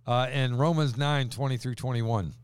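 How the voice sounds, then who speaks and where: Man, mid-pitched voice, animated pastor, reads scripture with emphasis, dry close sound. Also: normal speed, clear, resonant tone, no room sound. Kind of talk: casual conversation with scripture reading.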